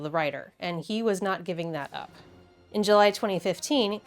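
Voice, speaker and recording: A woman hosting a video with casual, informal commentary, expressive and wryly opinionated. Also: medium pitch. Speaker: video host. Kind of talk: casual video commentary with game play reactions. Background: background music.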